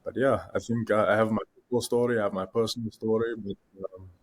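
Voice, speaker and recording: A man's voice: on the low side, steady tone, quick pace, low-quality recording. A young man from South Africa, a guest on a podcast interview, talking with many fillers and restarts. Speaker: guest, content creator.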